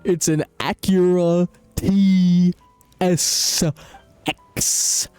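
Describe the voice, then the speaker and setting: A man's voice: sits high, steady tone, very slow, darkish tone, smooth, dry recording. A man doing casual, profanity-laced live game commentary and banter, with a blunt, teasing, slangy manner. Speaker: game streamer. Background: music.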